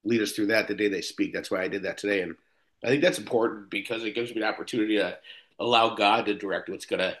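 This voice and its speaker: A fifty-something man from Buffalo, New York, with a fairly low voice, speaks to a recovery meeting. He wanders through his story casually, doubling back on his words and filling pauses with "uh". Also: steady tone, a bit bright. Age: 50s. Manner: rambling, frequent restarts and fillers. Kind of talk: personal recovery talk.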